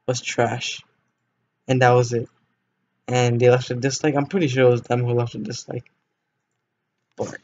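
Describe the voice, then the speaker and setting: A man's voice: mid-range voice, a little mellow, lo-fi sound, slight background noise. A teenage boy streaming a game, chatting casually with viewers in a rambling way, voice cracking now and then. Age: teens. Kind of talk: casual gameplay stream chat.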